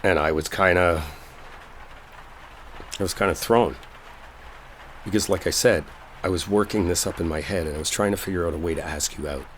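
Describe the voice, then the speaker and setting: Roleplay audio lead: male actor as smitten, sincere office colleague, deep voice, colloquial halting confession, faint rainstorm ambience behind. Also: slight background noise, lightly acted. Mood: frustrated, apologetic. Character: earnest lovestruck male coworker clearing up a misunderstanding. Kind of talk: intimate dramatic roleplay audio, one-sided conversation.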